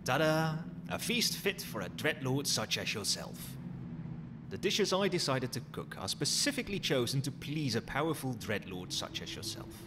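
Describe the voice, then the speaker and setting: A man's voice: medium pitch, sing-song, normal speed, lightly acted. A man playing a courteous, cheeky undead skeleton butler in an ASMR roleplay, with a formal, theatrical manner. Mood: showy, proud. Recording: long hall reverb, noisy ambience.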